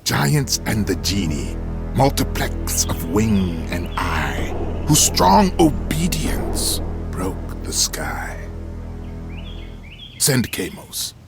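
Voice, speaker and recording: Deep gravelly villain general. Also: male voice, sing-song, drawn-out words, exaggerated voice. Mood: ominous, grandiose. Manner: grandiose, reciting verse ominously. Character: menacing commanding villain general, theatrical and brooding. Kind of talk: dramatic game cutscene acting. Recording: music behind the voice.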